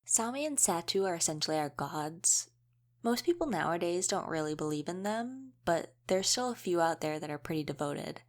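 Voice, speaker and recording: A woman's voice: fairly low pitch, animated, moderate pace. A woman playing an easygoing young female wolf shifter in a casual audio roleplay, chatting and explaining things informally. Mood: relaxed explaining.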